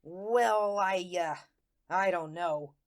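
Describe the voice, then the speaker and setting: A woman's voice: fairly low pitch, very slow. Woman playing a naive, slangy good-natured ghost fellow. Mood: doubtful. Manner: folksy, colloquial, guileless storytelling. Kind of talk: first-person radio-play narration.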